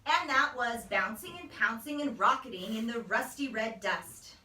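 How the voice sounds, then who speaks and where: A woman's voice: fairly high pitch, normal speed, bright, forceful tone. A woman, a teacher, narrating a storybook in a children's read-aloud style. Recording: roomy, distant microphone.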